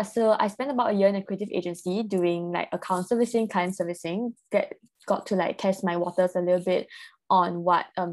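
A woman's voice: mid-range voice, darkish tone. A woman in her twenties from Singapore with a Singaporean accent, talking casually as an interview guest, often saying "like" and "I guess". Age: 20s. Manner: chatty, peppers speech with "like" and "I guess". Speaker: podcast guest, venture capital associate.

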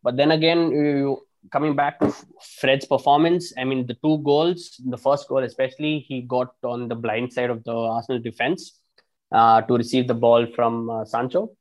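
A man's voice: mid-range voice, moderate pace, rough recording. A man, a football fan, arguing his side in a casual podcast chat, restarting sentences often and swearing casually. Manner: animated, frequent restarts and fillers, swears casually.

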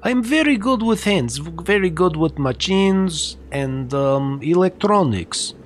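A man's voice: sits high, animated, measured pace, soft background music. A man doing a boastful broken-English village mechanic. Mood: eager.

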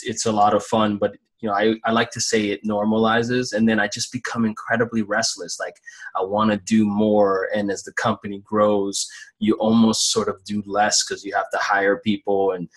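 A man's voice: on the low side, husky, rough recording. Casual male interview host.

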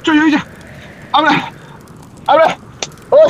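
A man's voice: very high-pitched, little pitch movement, moderate pace, muffled recording, pitched above the performer's own voice. A man with a Kansai accent playing an anxious, weary stranded climber in an amateur comic radio drama, earnest and exclamatory. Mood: alarmed urgent. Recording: noisy amateur recording.

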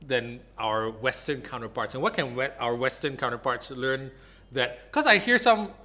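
Male host from Malaysia, casual interviewer. Bright, forceful tone with animated, wide-moving pitch. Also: normal speed.

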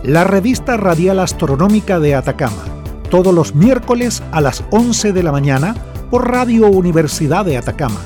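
A man's voice: high-pitched, very animated, moderate pace, darkish tone. A man reading scripted radio promos and show intros with a dramatic delivery. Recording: over music bed.

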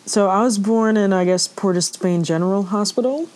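A woman's voice: mid-range voice, moderate pace, darkish tone, poor audio. A woman from Trinidad and Tobago with a Trinidadian accent, a podcast guest chatting casually with slangy, sassy asides. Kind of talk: casual chat.

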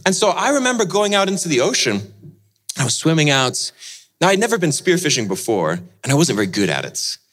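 Animated man telling a dramatic story. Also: a little high, fairly quick. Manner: dramatic storyteller, swings from lively to hushed. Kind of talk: sermon storytelling.

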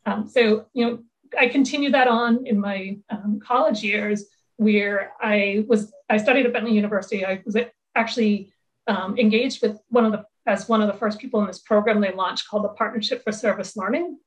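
A woman's voice: medium pitch, moderate pace, low-quality recording. A middle-aged woman talking as an interview guest, speaking earnestly and often restarting her sentences. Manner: earnest, with many fillers and restarts. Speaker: interview guest, tech executive. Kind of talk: casual interview chat.

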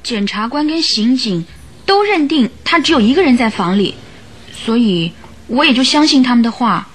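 A woman's voice: fairly high pitch, very animated, moderate pace. An actress in a radio drama playing an earnest, troubled young woman who gives careful, emotive accounts. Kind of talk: old Chinese radio drama acting.

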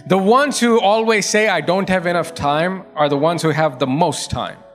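High-voiced preaching man. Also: moderate pace, faint music behind. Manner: preaching with rising emphasis and repetition. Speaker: pastor. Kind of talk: sermon.

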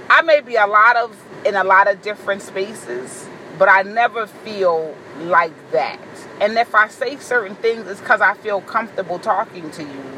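A woman with a deep voice giving a blunt, profane, boisterous vlog monologue, recorded in a car. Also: sharp tone, a little hiss or hum, low-quality recording. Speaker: vlogger. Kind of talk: candid monologue to camera.

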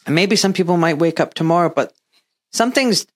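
A man's voice: high-pitched, lively intonation, a little fast. A man in his fifties from Glasgow with a mild Scottish accent, a surgeon telling his story earnestly and emphatically as an interview guest. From Glasgow, Scotland. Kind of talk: candid interview talk.

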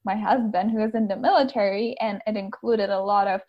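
A woman from the Czech Republic in her thirties, a coach chatting as a podcast guest, bubbly and quick to laugh. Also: medium pitch, fairly even intonation. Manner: bubbly, laughs often, uses like a lot. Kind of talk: casual interview chat. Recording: muffled lo-fi audio.

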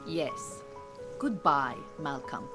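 A woman playing a calm, capable Iranian beekeeping teacher in a naturalistic audio drama, giving measured, reassuring instruction. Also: sits low, music in the background, heavily acted. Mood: politely terse. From Iran.

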